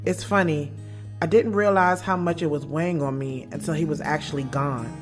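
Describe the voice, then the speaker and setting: A woman's voice: low-pitched, moderate pace, natural voice. A woman playing a guarded, blunt young woman author in an audio drama, speaking frankly and with slangy sass about her love life. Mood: reflective, frank. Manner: candid, slangy, sassy. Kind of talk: first-person audio drama narration and dialogue. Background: music bed.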